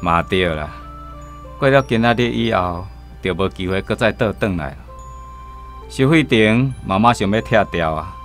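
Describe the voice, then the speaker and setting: A friendly middle-aged station boss in a radio drama, with a Taiwanese accent. His voice sits low and easygoing, with faint music behind. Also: lively intonation, moderate pace. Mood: friendly, wistful.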